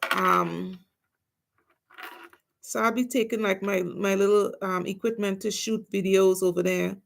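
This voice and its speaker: A woman's voice: medium pitch, steady tone, unhurried, vocal fry. A woman with a Trinidadian accent chatting casually to her viewers on a livestream while she does her nails. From Trinidad and Tobago. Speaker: nail technician vlogger.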